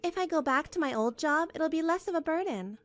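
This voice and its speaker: A woman's voice: high-pitched, animated, brisk, a bit bright, soft background music. A woman voicing a meek, polite young wife who speaks hesitantly and sounds hurt, in a manga drama. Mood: gently pleading.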